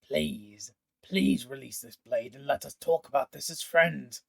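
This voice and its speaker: A man's voice: mid-range voice, lively intonation, measured pace, vocal fry, a bit bright, full character voice. A man voicing a scornful four-armed Vedalken villain. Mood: pleading. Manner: scornful, self-pitying, pleading when threatened. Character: jealous, cruel male four-armed Vedalken hunter.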